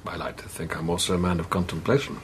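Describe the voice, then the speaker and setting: Actor as thoughtful, doubting priest, noisy background. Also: male voice, low voice, steady tone, fast talker, natural voice. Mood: guarded, probing. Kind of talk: naturalistic radio drama acting.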